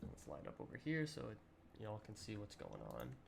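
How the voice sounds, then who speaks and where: A young man with a low, gravelly voice that sounds a bit sore today. He rambles casually through his game commentary, full of ums and the odd throat clear, while keyboard clicks and a noisy hiss sit behind him. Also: huge pitch swings, brisk. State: slightly raspy, sore voice. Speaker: gaming channel host. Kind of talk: casual let's-play commentary.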